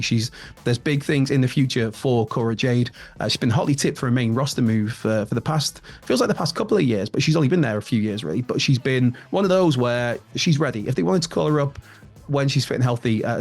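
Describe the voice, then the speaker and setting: A man's voice: mid-range voice, a little mellow. A man, presenting a casual wrestling news rundown in a chatty, rambling way. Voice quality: raspy. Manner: chatty, rambling, with frequent restarts and laughs.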